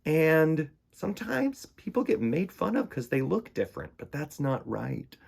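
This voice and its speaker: Animated man hosting storytime for kids. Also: fairly high pitch, a little slow, creaky, breathy edge. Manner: expressive, conversational, speaks simply to young children. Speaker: host of a children's storytime show. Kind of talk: children's picture book reading and chat.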